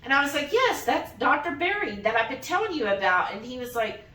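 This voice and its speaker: A woman's voice: high voice, a little fast. A woman telling a personal story casually as a vlog host, animatedly acting out the dialogue as she retells it. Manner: animated retelling, often quoting dialogue in acted voices. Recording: roomy echo, distant microphone.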